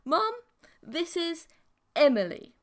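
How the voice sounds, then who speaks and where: A woman's voice: extremely high pitch, big pitch swings, takes it slowly, voice pushed up, full character voice. A woman voicing an awkward young son and reluctant boyfriend in an audiobook, hesitant and earnest in manner. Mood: nervous.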